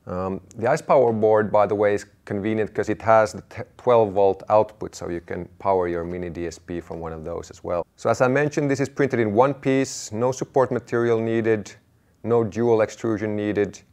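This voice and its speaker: Low-voiced man explaining gear. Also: normal speed, darkish tone. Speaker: DIY audio channel presenter. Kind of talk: product walkthrough to camera.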